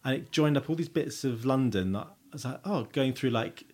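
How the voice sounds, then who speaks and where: A man co-hosts a relaxed podcast conversation. He rambles loosely and stops now and then to hunt for a word. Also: medium pitch, moderate pace. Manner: relaxed, rambling, searching for words. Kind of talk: casual podcast chat.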